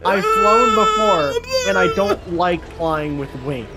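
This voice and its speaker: A man acting as a grumbling, secretive dragon in a game roleplay. He is flustered and repeats himself, with lively swings in pitch over music. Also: slow pace, heavily acted, rough recording. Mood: exasperated. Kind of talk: improvised Minecraft roleplay.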